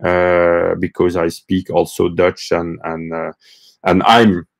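A man's voice: deep voice, monotone, takes it slowly, creaky. A man in his forties with a Flemish Belgian accent, French his first language, hosting a casual live stream and speaking hesitantly with many fillers and restarts, filmed close up in a small room. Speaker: host of a live stream on gardening. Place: small room with bookshelves, indoors.

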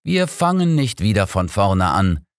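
A man voicing a shrewd, unflappable old detective in an audiobook, measured, deliberate and courteous. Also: on the low side, sing-song, no room sound, clean studio sound, pitched above the performer's own voice. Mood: calm, pondering.

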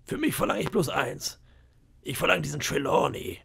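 A man's voice: on the high side, expressive, moderate pace, vocal fry, a bit bright, slightly rough, full character voice. A man voicing a cunning old pirate cook in an audiobook, wheedling and boastful with flashes of menace. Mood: scheming. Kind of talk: audiobook character voice.

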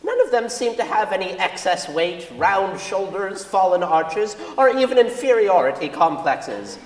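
A woman playing a prim, critical elderly alumna, reading a letter with precise, drawn-out comic emphasis. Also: mid-range voice, sing-song, a little mellow. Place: large indoor concert hall stage. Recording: echoey concert hall stage recording.

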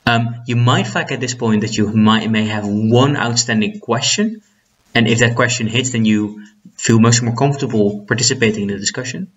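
A man in his twenties from the Netherlands with a husky voice, giving an instructional talk as a debate trainer, stressing his key points. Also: medium pitch, moderate pace. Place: small plain room indoors. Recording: dull lo-fi webcam sound.

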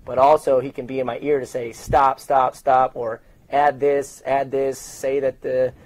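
Middle-aged American male, mid-pitched, relaxed interview storytelling, explains things step by step and acts out bits of dialogue. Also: measured pace, poor audio. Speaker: sports public address announcer being interviewed. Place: open indoor lobby studio at a desk.

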